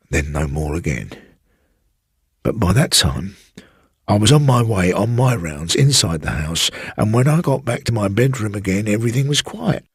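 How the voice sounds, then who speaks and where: A man voicing a sly, brooding elderly former servant with a rustic working-class English accent, telling his tale slowly and insinuatingly in a husky voice. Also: low voice, no room sound, clean studio sound, full character voice. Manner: slow, insinuating, colloquial storytelling. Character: sly elderly former manservant, evasive and brooding, recounting a haunting.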